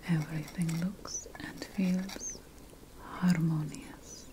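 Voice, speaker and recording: A woman playing a calm, ceremonial ancient witch priestess in an ASMR roleplay, mostly whispering in a breathy, soothing and deliberate way. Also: sits low. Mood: gentle, attentive. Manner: slow, soothing, deliberate, repeating phrases.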